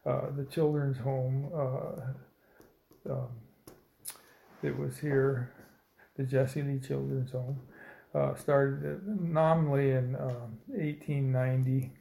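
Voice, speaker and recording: A man in his seventies from Indiana, telling his life story slowly in an oral history interview, with many pauses and fillers. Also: medium pitch, level tone, gravelly, warm tone, reverberant, poor audio. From Indiana, United States. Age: 70s. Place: old building, a former dormitory.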